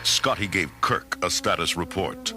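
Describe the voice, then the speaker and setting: A man narrating a children's adventure storybook from a script as its narrator. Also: unhurried. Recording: narration over very loud music, rumbling effects.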